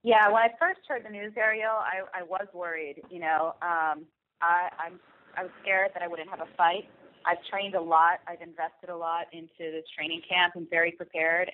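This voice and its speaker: Lowish-voiced woman on a telephone line. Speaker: interpreter. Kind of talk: interpreting on a press call.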